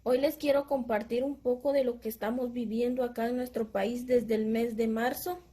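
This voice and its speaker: A woman from Guatemala, a mother of two, gives a steady, somber testimony that sounds prepared. Her voice sits a little high. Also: moderate pace, husky.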